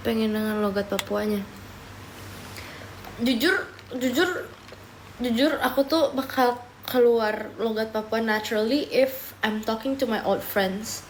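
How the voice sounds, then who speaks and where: Young woman streamer, relaxed and chatty, drifts between languages while snacking, pitched a bit high. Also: a little slow, pauses often, slight background noise, rough recording. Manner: chatty, often switching into English mid-sentence; talks while eating and sipping a drink. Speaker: live streamer. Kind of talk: casual livestream chat answering viewer comments.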